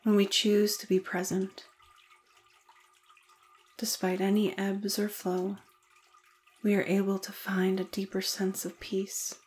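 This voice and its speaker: A woman leading a guided meditation in a soothing, deliberate manner with gentle pauses. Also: mid-range voice, completely flat delivery.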